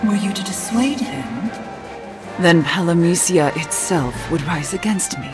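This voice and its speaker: Game witch: low, slow, grave and weary, formal diction, quietly resolute, music underneath. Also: animated. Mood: grave, resigned. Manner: solemn, slow, measured delivery with formal wording. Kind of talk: video game cutscene voice acting. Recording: music behind the voice.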